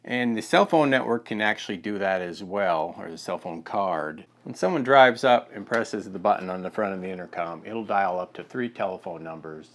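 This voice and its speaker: An American man in his fifties giving a plain, halting instructional product demonstration on a lapel mic in a studio. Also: low-pitched, expressive, fairly bright tone. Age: 50s.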